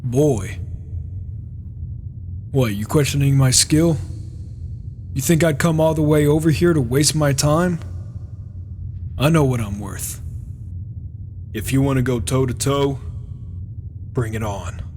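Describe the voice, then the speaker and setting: A man's voice: medium pitch, slow pace, long pauses, a little mellow, natural voice. A man playing a proud, brash wandering warrior in a fantasy game roleplay, challenging and sardonic, on a noisy microphone. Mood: indignant. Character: proud, cocky, reclusive male wandering warrior. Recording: noisy microphone with rain and thunder.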